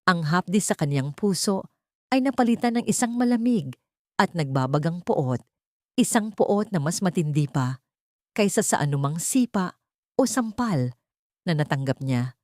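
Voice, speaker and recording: Female narrator for dramatic audio fiction: slightly low pitch, solemn suspenseful delivery, dry close sound. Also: lively intonation, moderate pace, pauses often, darkish tone, no echo. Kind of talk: dramatic scripted story narration.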